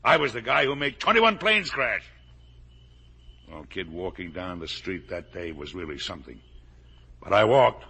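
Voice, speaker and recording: Gravelly, forceful middle-aged father. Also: fairly low pitch, exaggerated voice. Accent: American. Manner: emphatic, colloquial, insistent. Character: blustering middle-aged father, self-made factory owner, defensive. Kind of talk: 1950s American radio drama acting. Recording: old radio drama broadcast.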